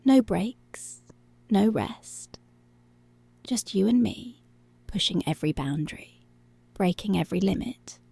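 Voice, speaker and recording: A woman playing a dominant, seductive policewoman in an intimate ASMR roleplay, breathy and deliberate, often dropping to a whisper. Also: mid-range voice, expressive, warm tone, no room sound, exaggerated voice. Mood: commanding, teasing. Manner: slow, deliberate, commanding.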